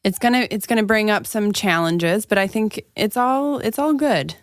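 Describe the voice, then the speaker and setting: Woman with a smooth lowish voice chatting casually as podcast cohost, dry recording. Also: lively intonation, normal speed, no room sound. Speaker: astrology podcast co-host. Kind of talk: casual conversational astrology talk.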